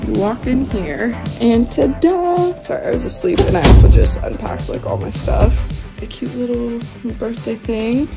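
A young woman from the United States talks through a casual house-tour vlog, full of "like" fillers. Her voice has a lot of vocal fry, and clear background music plays under her phone-recorded speech. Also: medium pitch. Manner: chatty, lots of "like" fillers. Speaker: vlogger. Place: holiday villa, indoors and outdoors. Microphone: phone held at arm's length.

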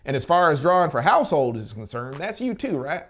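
A man's voice: on the high side, big pitch swings, fairly quick, slight background noise, pitched above the performer's own voice. A man voicing a folksy, businesslike small-town official who gives commands in an audiobook.

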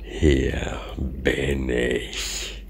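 Sinister serpent's champion, extremely low voice, very drawn-out proclamations, fantasy audio story. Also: male voice, level tone, exaggerated voice. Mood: grandiose menace. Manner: slow, ominous, grandiose proclaiming. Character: menacing hooded burly warrior servant of a great serpent. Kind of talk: dramatic fantasy story reading. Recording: clearly noisy background.

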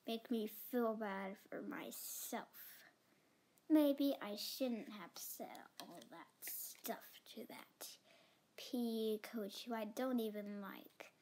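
A woman's voice: a little high, lively intonation, takes it slowly, dark tone. A young girl improvising a doll roleplay at home, whiny and dramatic, often dropping to a whisper. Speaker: doll video creator voicing the lead character. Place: carpeted bedroom floor.

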